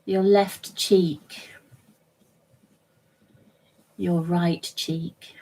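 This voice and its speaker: Very slow, gentle woman guiding meditation. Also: on the low side, flat delivery. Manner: gentle, measured, soothing, short phrases with long pauses. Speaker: yoga nidra instructor. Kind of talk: guided meditation, relaxation instruction.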